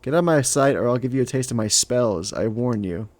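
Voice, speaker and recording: A man's voice: mid-range voice, a little fast, a little mellow, dry recording, close to an everyday voice. A man voicing a defiant, hot-tempered young woman in a fantasy story game, with an indignant, confrontational manner. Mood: angry, defiant. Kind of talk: reading a story game aloud.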